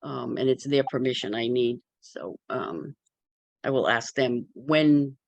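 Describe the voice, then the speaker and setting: A woman's voice: rumbling low, slow, gravelly, dry recording. A woman who teaches spiritual classes, chatting casually with a group.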